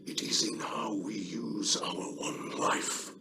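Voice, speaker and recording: A man voicing a solemn, honorable alien warrior and father, gravelly and breathy, speaking in grave, halting phrases, as video game dialogue. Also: lowish voice, fairly even intonation, whispered. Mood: solemn, reflective.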